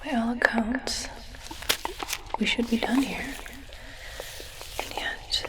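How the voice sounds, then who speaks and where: A woman playing a soft-spoken, puzzled alien in an ASMR roleplay, speaking in a breathy whisper with a halting, measured clinical manner. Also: on the high side, steady tone, poor audio. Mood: puzzled, focused. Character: soft-spoken, puzzled alien implanting a translator in a human's brain.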